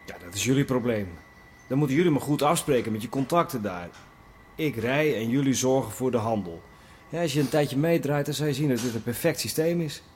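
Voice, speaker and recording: An actor voices a cool-headed smuggling driver in a radio play, who lays out his terms plainly and without hurry. His man's voice sits at a mid pitch, with a faint hiss behind it. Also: a little fast, lightly acted. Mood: businesslike. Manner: calm, matter-of-fact. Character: calm male smuggler truck driver, businesslike.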